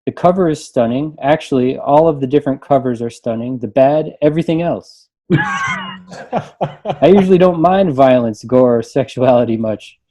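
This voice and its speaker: A man reading aloud and chatting casually in a group, amused and quick to chuckle. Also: mid-range voice, wildly swooping pitch, mellow. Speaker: librarian reading book reviews aloud.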